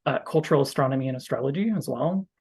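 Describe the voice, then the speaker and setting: A man's voice: mid-range voice, fast, a little mellow, breathy edge. A man speaking as an astrologer guest in an interview, explaining his practice earnestly and conversationally, thinking aloud as he goes.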